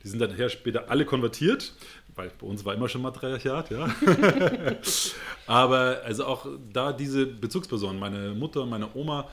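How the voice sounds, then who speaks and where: A man's voice: mid-range voice, lively intonation, moderate pace, fairly bright tone. A man with a northern German accent, answering interview questions in an earnest, reflective way and searching for words as he goes. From Hamburg, northern Germany. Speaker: interview guest.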